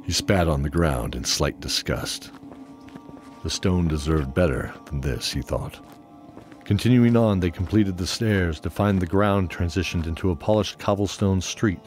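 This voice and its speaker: A man with a very low voice tells a scripted fantasy tale. He serves as the narrator of an audio drama. Music plays clearly behind him. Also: measured pace, a little mellow. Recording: music under the voice.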